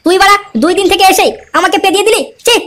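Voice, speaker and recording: A woman's voice: very high-pitched, rapid-fire, a bit bright. A woman voicing a hot-tempered, foul-mouthed young husband in an amateur cartoon comedy, scolding and boastful. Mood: angry. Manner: scolding, crude, combative.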